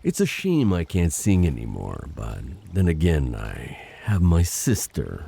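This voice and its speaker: Wounded male siren , man acting, audio roleplay: gravelly, damaged throat, swooping delivery, grim mockery. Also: deep voice, huge pitch swings, measured pace, dark tone, full character voice. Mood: mocking menace. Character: vengeful wounded male siren, grimly mocking.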